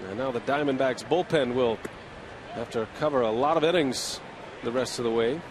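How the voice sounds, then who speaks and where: A man calling a baseball game play-by-play in a steady, measured broadcast style. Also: mid-range voice, noisy background. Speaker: play-by-play announcer.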